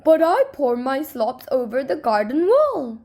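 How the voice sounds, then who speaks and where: A woman voicing a gentle, puzzled old husband in a folktale, speaking in a mild, earnest way. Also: high-pitched, very animated, unhurried, heavily acted.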